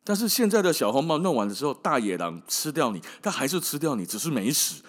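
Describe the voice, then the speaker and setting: Animated Taiwanese Mandarin man, podcast storyteller. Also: mid-range voice, fast talker. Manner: colloquial, wry, emphatic, with rhetorical questions and tag questions. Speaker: podcast host. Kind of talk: casual storytelling monologue.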